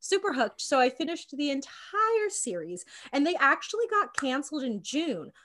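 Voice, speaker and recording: A woman's voice: high-pitched, big pitch swings, moderate pace, sharp tone. A young woman co-hosting a podcast, chatty and rambling with lots of fillers, a little stuffy from a cold. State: congested with a cold and cough. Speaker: podcast co-host. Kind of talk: casual podcast chat.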